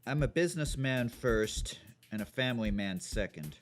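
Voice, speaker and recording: A man's voice: medium pitch, lively intonation, moderate pace, soft background music, close to an everyday voice. A man playing a callous, irritable business magnate in an audio drama, curt and dismissive. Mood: cool, evasive.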